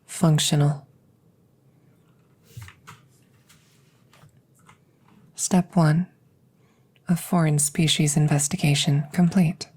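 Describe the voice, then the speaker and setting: A woman playing a calm, curious alien scientist in an ASMR roleplay, speaking softly and close up in measured, formal, clinical phrases. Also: sits low. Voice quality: soft, breathy close whispering. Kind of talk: whispered ASMR audio roleplay. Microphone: close-up microphone.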